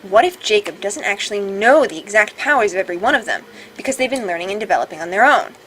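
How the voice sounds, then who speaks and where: A woman's voice: medium pitch, lively intonation, fast talker, fairly bright tone, a little hiss or hum, poor audio. A woman reading visual novel dialogue aloud as a let's play host, playful and casual in her delivery. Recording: music behind.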